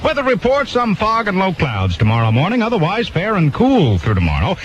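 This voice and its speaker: High-pitched, animated American radio newsman. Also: fairly quick. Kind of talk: scripted news bulletin. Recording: dull noisy 1970s radio aircheck.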